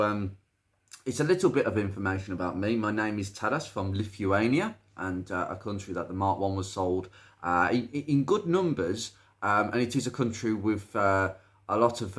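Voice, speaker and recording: British guy doing car video commentary, lowish voice a bit croaky from hay fever, chatty, kinda rambles. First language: English. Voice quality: slightly croaky. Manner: chatty, hesitant, rambling asides. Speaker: presenter. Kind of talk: informal car video commentary, reading out a viewer's message.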